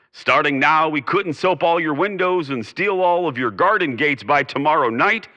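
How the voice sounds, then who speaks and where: Theatrical male radio host, animated and bright. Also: fairly high pitch, long reverb tail. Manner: theatrical, mock-solemn. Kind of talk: scripted sign-off in 1930s radio drama style.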